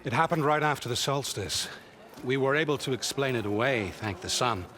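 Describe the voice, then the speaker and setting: A man playing a grave, formal spymaster of a sun kingdom in a video game, measured and courtly. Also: mid-range voice, pushed and bright, lightly acted. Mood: grave, wry. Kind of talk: dramatic video game acting. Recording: noisy ambience behind the voice.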